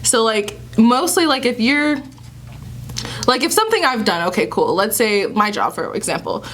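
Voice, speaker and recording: A woman's voice: fairly high pitch, big pitch swings, normal speed, fairly bright tone, slight background noise. A woman, co-hosting a podcast in easygoing, giggly casual chat full of fillers. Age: 20s. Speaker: podcast co-host.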